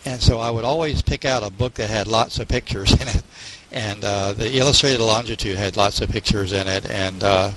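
A man chatting casually in a book discussion, halting and often correcting himself. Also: lowish voice, fairly bright tone, slight background noise, poor audio.

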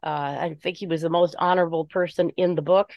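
A woman giving her opinions in an informal book discussion, speaking haltingly and deliberately as she searches for words. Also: on the low side, steady tone, no echo, lo-fi sound. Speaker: book group member.

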